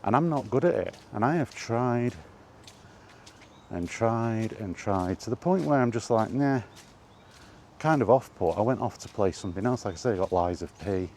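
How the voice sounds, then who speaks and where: A man chatting casually in a rambling solo monologue, halting and musing, often repeating his phrases, recorded outdoors. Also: on the low side, animated, darkish tone, a little hiss or hum. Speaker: host of a gaming chat series. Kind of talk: casual rambling monologue about video games.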